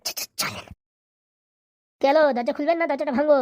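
A woman's voice: high-pitched, big pitch swings, a little fast, darkish tone, dry recording. Woman voicing a cheeky young goon. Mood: bickering. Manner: cheeky, quarrelsome. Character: young male hired goon, cheeky and whiny. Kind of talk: cartoon voice acting.

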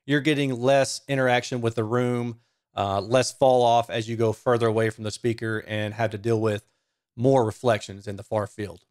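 A man's voice: mid-range voice, little pitch movement, normal speed, a little mellow. Man explaining technical material. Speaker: audio reviewer and presenter. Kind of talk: technical explainer, semi-scripted. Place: small home studio room. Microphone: microphone on a stand close in front.

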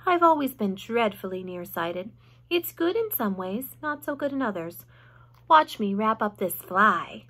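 A woman voicing a kindly, self-assured female spider in a storybook, measured and gracious. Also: mid-range voice, very animated, a bit bright. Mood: friendly, self-assured. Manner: measured, gracious, matter-of-fact.

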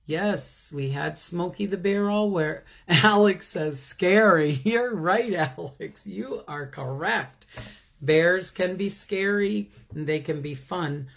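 An older woman hosting a children's story time, reading aloud with chatty asides and questions to her listeners. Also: lowish voice. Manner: slow, asks listeners questions. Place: small room at home, indoors. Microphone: phone or webcam at arm's length.

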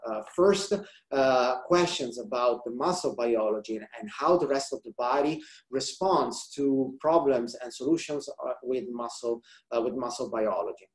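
A man from Italy with an Italian accent, a research biologist giving an online slide presentation in a hesitant way with many pauses. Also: medium pitch. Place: indoor room, video call. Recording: roomy, echoing video call.

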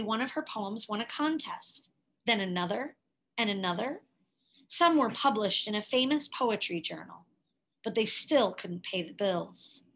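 A woman reading a picture book aloud expressively, in a measured way with dramatic pauses and emphasis. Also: a little high, a bit bright, poor audio. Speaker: read-aloud storyteller, teacher.

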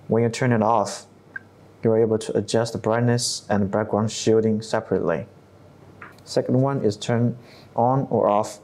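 A man in his thirties narrating a scripted software tutorial step by step, with non-native English phrasing. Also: medium pitch. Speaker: tutorial presenter.

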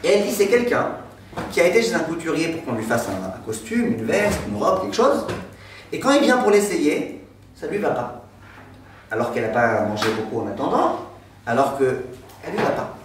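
A man in his thirties, a rabbi giving a lively storytelling lesson and joking with his class in a classroom. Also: fairly high pitch, fairly quick, background noise. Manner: animated, gestures broadly, jokes with the class. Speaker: rabbi teaching a Torah class. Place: classroom with a decorated board, indoors. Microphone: far camera. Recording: echoey room from far off.

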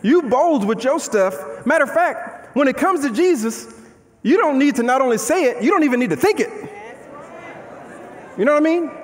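A young man preaching from a large church stage, with a strikingly high voice and reverb that hangs in the big hall. His delivery is animated and conversational, full of rhetorical questions, and he moves around as he talks. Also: moderate pace, a faint crowd. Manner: paces the stage and gestures while preaching. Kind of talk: sermon.

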